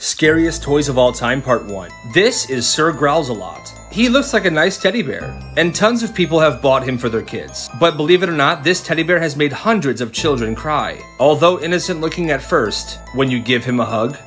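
A man's voice: a little high, animated, normal speed, few pauses, fairly bright tone, faint music behind. A young man giving scripted narration, presenting toys. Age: young adult.

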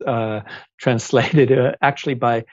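A man in his forties with a German accent, a guest author speaking in a casual video-call interview, thoughtful and halting with many ums. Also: mid-range voice, fairly even intonation, no echo, dull audio. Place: home office, indoors. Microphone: earphone headset on video call.

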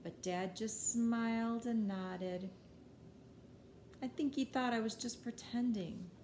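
A middle-aged woman giving an expressive children's storybook read-aloud, with measured, dramatic emphasis on key words. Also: a little high. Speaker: teacher reading a picture book aloud. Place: living room at home, indoors. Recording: roomy echo, noticeable background noise.

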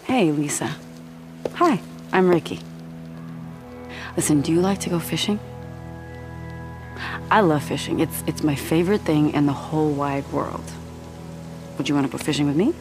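An American actress playing a frank, no-nonsense woman survivor with a gentle side in a video game. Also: low-pitched, normal speed, long pauses, music in the background, lightly acted. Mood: gentle coaxing. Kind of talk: naturalistic cinematic game acting.

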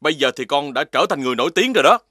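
Calm lecturing father dog. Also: a little high, rapid-fire, no echo, studio quality. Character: patient father dog, gently lecturing his son. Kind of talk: cartoon voice acting. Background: background music.

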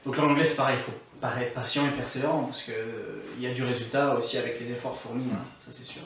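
A man in his thirties hosting a casual interview, encouraging with quick affirmations. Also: mid-range voice, steady tone, fairly bright tone, a little hiss or hum. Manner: encouraging, frequent short affirmations. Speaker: host, English teacher. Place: living room, on a couch. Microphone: far camera mic. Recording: echoey room, from a distance.

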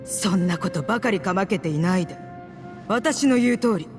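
A woman's voice: medium pitch, lively intonation, moderate pace, natural voice. An actress playing a strict mother who scolds and commands her daughter. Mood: stern. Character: strict middle-aged mother, stern. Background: game music.

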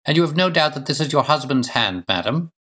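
A man playing a brisk, analytical gentleman detective in an audiobook, speaking formally with precise, clipped delivery. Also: a little high, animated, pitched above the performer's own voice. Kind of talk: audiobook character dialogue.

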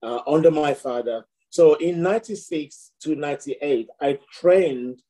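A man's voice: on the high side, unhurried, dark tone, poor audio. A middle-aged man, raised in Nigeria, a priest speaking as a guest on a video call, reflective, with many fillers and restarts. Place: home room with shelves.

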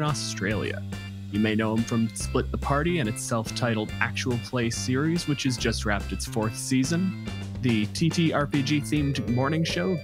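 A man hosting a podcast, with scripted introductions and casual gaming chat. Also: mid-range voice. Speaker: podcast host.

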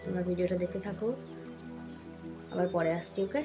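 A woman's voice: lowish voice, steady tone, fairly quick, gravelly, over music. A young woman, talking casually to her viewers from a phone held at arm's length in a small room at home, with English words mixed in. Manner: chatty, addresses viewers directly, mixes in English words. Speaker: vlogger. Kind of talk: casual vlog narration to camera. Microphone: phone camera held at arm's length.

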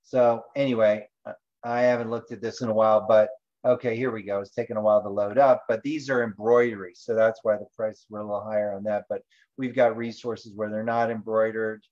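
A man's voice: on the low side, level tone, a little fast, a little mellow. Dull-sounding man hosting an online call. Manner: informal, rambling, thinking aloud. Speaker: presenter and host of an online training call. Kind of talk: casual screen-share presentation.

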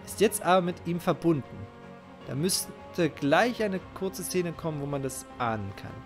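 A man's voice: a little high, animated, normal speed. A middle-aged man commenting casually on a game live, emphatic and emotionally invested, repeating his phrases. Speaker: game streamer. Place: indoor home streaming setup. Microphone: close microphone, headset worn. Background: game music.

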